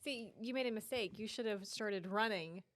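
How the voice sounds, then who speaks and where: A woman's voice: medium pitch, normal speed. A woman chatting casually as a podcast guest, interjecting in a teasing way. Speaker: podcast guest, real estate agent. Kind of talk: casual chat.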